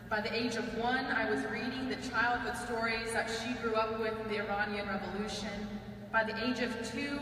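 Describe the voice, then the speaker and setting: A young woman student giving a prepared speech, earnest and measured with touches of dry humor. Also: a little high, pushed and bright. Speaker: student speaker. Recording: echoey hall, mic far off, faint hiss.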